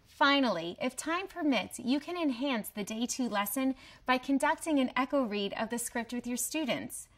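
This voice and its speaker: A woman presenting a promotional training video in a scripted, clearly structured explanatory way. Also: high-pitched, lively intonation, moderate pace, few pauses, a bit bright. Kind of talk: scripted instructional narration.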